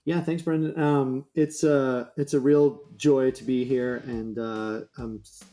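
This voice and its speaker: A man's voice: medium pitch, steady tone, unhurried, warm tone. A man speaking casually as a guest on a podcast interview.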